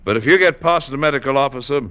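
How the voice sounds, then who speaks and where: An actor playing a reserved but indulgent army officer, measured and formal, in an old-time radio drama. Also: male voice, mid-range voice, pushed and bright, clear, resonant tone, natural voice. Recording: dull old radio recording.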